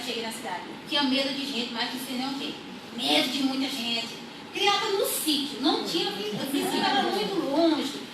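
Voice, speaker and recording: A young woman from rural Brazil telling her life story as a church testimony, unhurried and repeating her phrases. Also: high-pitched. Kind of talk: personal testimony. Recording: echoey room, far from mic, audible noise.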